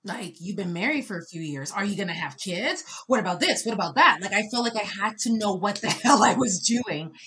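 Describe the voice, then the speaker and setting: A woman in her thirties speaking as a podcast guest in casual conversation, expressive and shifting between soft reflection and loud laughing energy. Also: medium pitch, fairly quick, few pauses, a little hiss or hum. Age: 30s.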